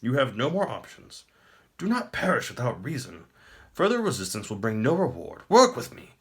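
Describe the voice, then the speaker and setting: Gloating tyrant wizard for fantasy audiobook man acting: raised pitch, wildly swooping, grand formal courtesy with mockery. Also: huge pitch swings, moderate pace, fairly bright tone. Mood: coaxing. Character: gloating male wizard emperor, smooth-talking tyrant.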